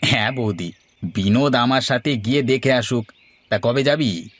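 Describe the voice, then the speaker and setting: A man's voice: medium pitch, normal speed, a bit bright, lightly acted. A man playing a villager who acts as a persuasive matchmaker for his friend in a cartoon. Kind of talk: Bengali moral story cartoon dialogue.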